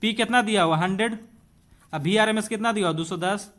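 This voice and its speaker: A high-voiced man gives an exam-prep physics lesson, working through questions step by step. He talks to students conversationally, puts rhetorical questions to them and drops English technical terms into his explanations. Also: fairly quick, a bit bright. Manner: switches between Hindi and English terms. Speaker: physics teacher.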